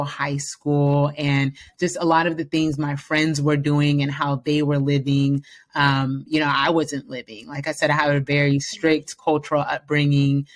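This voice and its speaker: Confident upbeat woman guest. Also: low voice. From San Francisco Bay Area, US. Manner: upbeat, confident, frequent fillers and laughs. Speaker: podcast guest. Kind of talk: casual interview conversation.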